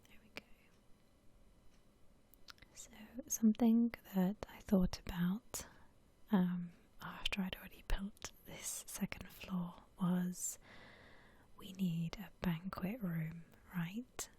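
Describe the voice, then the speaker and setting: A woman whispering gently and unhurriedly in a soft, breathy ASMR style, musing aloud as she comments on a game. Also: fairly low pitch, steady tone, slow pace. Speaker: host of an ASMR gaming channel.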